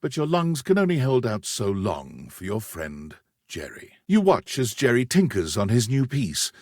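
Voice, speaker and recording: A game narrator with a mid-pitched, slightly husky voice. The storytelling is measured and scripted, rising and falling expressively. The sound is dry and close. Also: male voice, huge pitch swings, a little mellow, no room sound. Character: omniscient male narrator of a quirky dating game.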